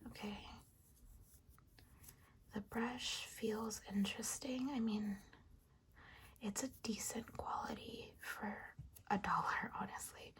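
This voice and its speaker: Soft-spoken whispery woman, raspy edge. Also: medium pitch, steady tone, slow, pauses often, low-quality recording. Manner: chatty, rambling with fillers. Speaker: ASMR channel host.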